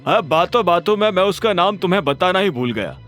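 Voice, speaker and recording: Company boss with mob ties acted by a male narrator: earnest, emphatic, raised animated voice, audio drama. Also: sits high, brisk, faint music behind, pitched above the performer's own voice. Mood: explaining.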